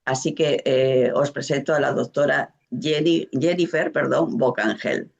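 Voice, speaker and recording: Gravelly, husky male host. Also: medium pitch, a little slow, highs cut off. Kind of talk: conversational interview introduction.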